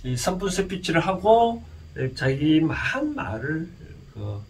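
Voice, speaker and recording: Guy with gyeongsang korean accent lecturing informally, halting, voice a bit high, slight background noise, keeps asking the listener questions. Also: very animated. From Gyeongsang region, South Korea. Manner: halting, often asks listeners rhetorical questions. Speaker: lecturer and counsellor. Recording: distant mic with faint background noise.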